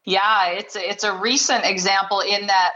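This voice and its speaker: This is a woman with a bright, clear-cut voice who talks in a friendly, rambling way, full of little fillers and soft laughs. Her sound comes through slightly dull and lo-fi. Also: medium pitch, little pitch movement, unhurried. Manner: chatty, warm, with fillers and small laughs. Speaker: trade show presenter, guest. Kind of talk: conversational interview.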